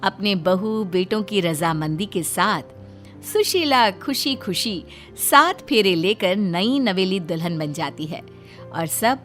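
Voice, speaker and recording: A woman's voice: medium pitch, animated, slow, a bit bright, faint music behind. A woman narrator reading a scripted family story.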